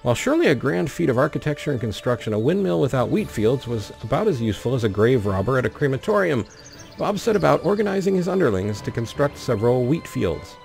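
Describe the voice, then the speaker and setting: A man's voice: mid-range voice, sing-song, fast, vocal fry, a little mellow, soft background music. A man hosting a gaming video, commenting on play and reading the game's story text aloud. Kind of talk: let's play commentary mixed with reading in-game narration aloud.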